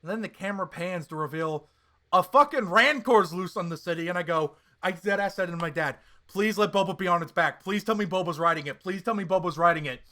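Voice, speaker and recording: A man co-hosting a casual pop-culture podcast, talking in an excitable, hyperbolic fan style with plenty of swearing. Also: sits high, a little fast. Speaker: podcast co-host.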